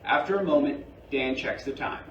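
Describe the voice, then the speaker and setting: A man's voice: mid-range voice, animated, normal speed, fairly bright tone, lo-fi sound. A man reading stage directions as scripted narration for a staged play reading. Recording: roomy, distant mic, faint noise.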